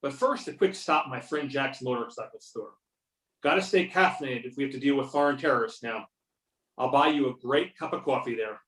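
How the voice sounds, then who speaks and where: A man's voice: medium pitch, fairly quick, fairly bright tone, lightly acted. A man reading a weary, wry federal UFO investigator in amateur readers theater, with a dry, matter-of-fact delivery. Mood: casual, weary. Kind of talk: amateur readers theater over a remote call. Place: remote video or phone conference. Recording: roomy, distant call microphone.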